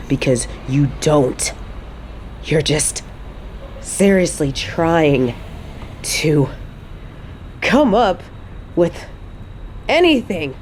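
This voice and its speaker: A woman's voice: deep voice, darkish tone, a little hiss or hum, exaggerated voice. A woman playing a fed-up, defiant mother confronting her toxic ex in a dramatic audio roleplay, scornful and emphatic. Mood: bitter, firm.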